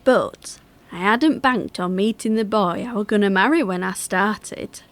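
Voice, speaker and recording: A woman performs an older working-class woman from the factory floor, with a medium-pitched voice. She reminisces in a theatrical monologue, chatty and confiding, with wry fondness and homely dialect turns. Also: unhurried, lightly acted. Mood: chatty, nostalgic.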